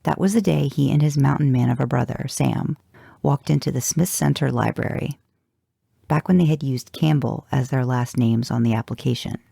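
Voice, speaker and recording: Creaky, mellow voice reading fiction aloud. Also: medium pitch, no room sound, studio quality. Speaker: fan fiction podcast reader. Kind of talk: first-person audiobook-style fiction narration.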